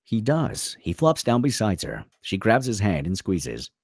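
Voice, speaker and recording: A man's voice: on the low side, sing-song, measured pace, a little mellow, dry recording, studio quality. A man narrating a screenplay's stage directions at a table read, theatrical and punchy in short clipped phrases.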